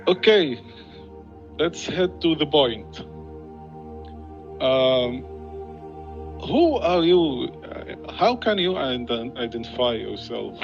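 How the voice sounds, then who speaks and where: A slow, halting male interviewer with a dull, muffled sound asks his questions and stumbles over repeated words. Faint music plays under the conversation. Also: medium pitch, lively intonation, creaky.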